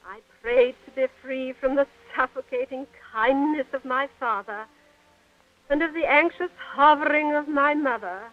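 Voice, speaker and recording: A woman playing a high-strung, weary young actress and rebellious daughter, with emotive 1940s radio drama delivery. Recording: muffled narrow-band 1940 radio, music.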